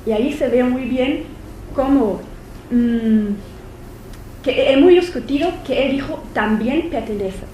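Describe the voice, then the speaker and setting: German-accented woman giving a uni talk, kinda high voice, lots of ums, echoey room with a bit of hiss. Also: slow pace, warm tone. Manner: hesitant, many fillers and restarts. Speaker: visiting lecturer. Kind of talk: academic conference talk. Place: university lecture room. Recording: echoey lecture hall, faint noise.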